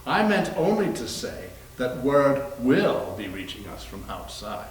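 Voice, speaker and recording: A man playing halting, grave doctor, echoing room. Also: medium pitch, very animated. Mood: awkward. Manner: halting, formal. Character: grave, hesitant male doctor. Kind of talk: readers theater performance. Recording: echoey room, distant mic, faint noise.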